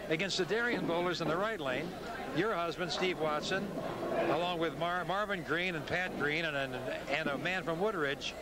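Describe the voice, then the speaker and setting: A middle-aged American man with a fairly high voice hosts a local TV sports show. He delivers plugs and commentary into a hand mic, with clear noise behind him on old videotape. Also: normal speed. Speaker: TV show host and bowling commentator. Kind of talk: local TV presenting, interviews, sponsor plugs and commentary. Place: large indoor bowling alley. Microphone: handheld microphone. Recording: noisy videotape, bowling alley ambience.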